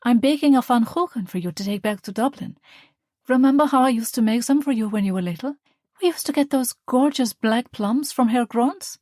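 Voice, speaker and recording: Woman voicing a gentle loving mother. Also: a little high, brisk, no echo, clean studio sound, voice pushed up, close to an everyday voice. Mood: tender. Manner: soft, tender, reassuring.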